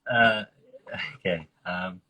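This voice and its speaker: A man's voice: low voice, very slow, background noise. A young Englishman who is a guest on a live interview, speaking hesitantly with many fillers and switching between learner Portuguese and his native English. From England. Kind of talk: casual interview.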